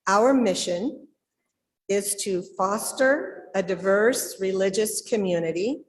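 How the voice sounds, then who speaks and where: A woman's voice: mid-range voice, slow. A middle-aged or older woman leading a church service, addressing the congregation in a large auditorium. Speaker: service leader. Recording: long hall reverb.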